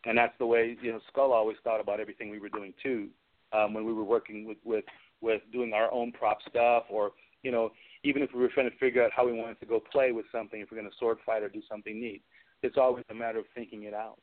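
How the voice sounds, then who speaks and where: A man's voice: lowish voice, little pitch movement, fast talker. A man talking casually as a special effects artist being interviewed. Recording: tinny phone line.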